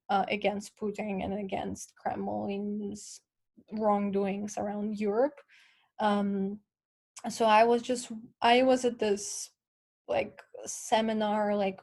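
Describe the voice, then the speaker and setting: A woman's voice: mid-range voice, a little mellow. A young woman with a Ukrainian accent, a guest in a casual interview, explaining things earnestly and patiently with many fillers.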